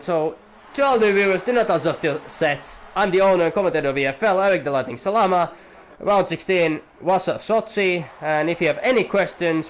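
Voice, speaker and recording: Young male league commentator, rambly and jokey, slightly high-pitched. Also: slight background noise. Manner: rambling, chatty, jokey asides to viewers. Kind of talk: live sim-racing commentary.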